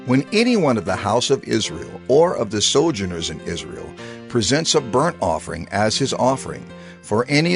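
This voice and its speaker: A gravelly law-giver voice, declaims every rule, lots of rise and fall. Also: male voice, medium pitch. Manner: measured, declaiming, authoritative. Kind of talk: dramatized scripture reading.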